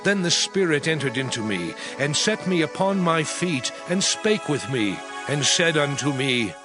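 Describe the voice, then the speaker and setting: An actor playing an earnest, awed prophet recounting his vision in a solemn, measured, expressive dramatized scripture narration. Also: a little high. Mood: solemn wonder. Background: music.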